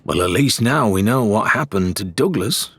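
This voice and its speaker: A man voicing a thoughtful, level-headed pirate in an audiobook, measured and reflective. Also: fairly low pitch, expressive, breathy edge, dry recording.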